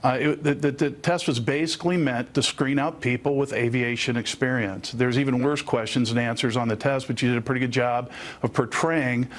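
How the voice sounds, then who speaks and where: A man speaking as a lawyer in a news interview, explaining things in a measured, matter-of-fact way. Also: mid-range voice, fairly even intonation.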